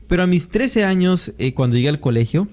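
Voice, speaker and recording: A man from Costa Rica, a guest on a faith interview, giving his personal testimony in a reflective way with pauses. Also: high-pitched, expressive, a little mellow. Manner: reflective, thoughtful storytelling with pauses.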